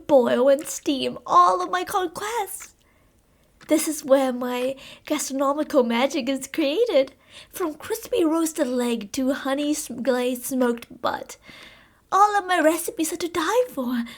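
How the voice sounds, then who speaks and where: A woman playing an unhinged, gloating cannibal killer in a scripted ASMR roleplay, with a mock-sweet, theatrical, taunting and drawn-out delivery. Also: sits high, animated, heavily acted. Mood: gloating, proud.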